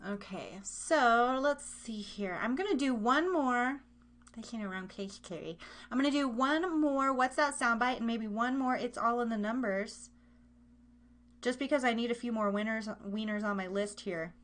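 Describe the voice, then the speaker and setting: A woman's voice: a little high, moderate pace, fairly bright tone. A woman hosting a live internet radio show, chatting casually in a playful, rambling way and repeating her phrases as she runs games.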